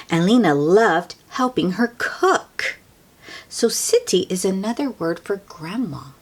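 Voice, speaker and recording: A woman reading a picture book aloud to children, expressive and sometimes hushed, with simple asides to her listeners. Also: mid-range voice, husky. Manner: slow, expressive, hushed at times, explains words simply.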